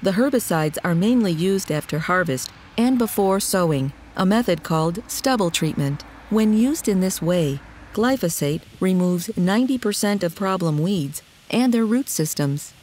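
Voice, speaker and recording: A woman reading scripted documentary narration in a measured, articulate, explanatory way. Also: lowish voice, animated, darkish tone, slight background noise.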